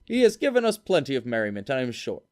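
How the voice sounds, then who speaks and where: A man's voice: mid-range voice, wildly swooping pitch, fast, pitched above the performer's own voice. A man voicing a jovial young man who speaks with a hearty, lilting tone. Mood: merry. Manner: lilting, toasting tone.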